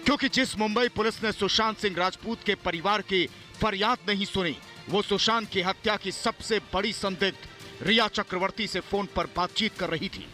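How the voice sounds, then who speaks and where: A man narrates a scripted news report in a high-pitched, bright and forceful voice. His delivery is emphatic and accusatory, set over a music bed. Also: normal speed.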